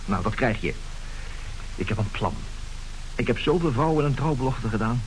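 Een acteur die in een hoorspel een ijdele, sluwe huwelijkszwendelaar van middelbare leeftijd speelt, theatraal en hoogdravend. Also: male voice, mid-range voice, lively intonation, normal speed, close to an everyday voice, poor audio. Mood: resolute. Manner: theatrical, pompous, declaiming. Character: scheming middle-aged marriage swindler, vain, grandiose, smooth-talking. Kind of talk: Dutch radio play acting. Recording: hissy old radio play recording.

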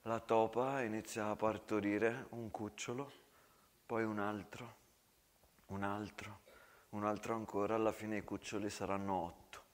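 A man's voice: lowish voice, little pitch movement, measured pace. A man narrating a war story as a theatrical monologue. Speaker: stage storyteller, actor. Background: acoustic guitar.

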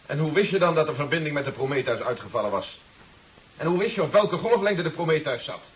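An actor playing a composed, sceptical general in a 1970s radio drama, dry and challenging. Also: male voice, on the high side, animated, fast, natural voice. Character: sceptical, composed male army general, dryly defiant. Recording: dull, hissy 1970s radio recording.

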